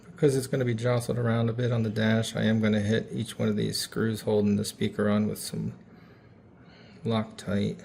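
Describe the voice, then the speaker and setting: A man's voice: lowish voice, little pitch movement, normal speed, gravelly, a little mellow, slight background noise. A man hosting a DIY repair video, talking through the hands-on work in a casual, folksy, informal way.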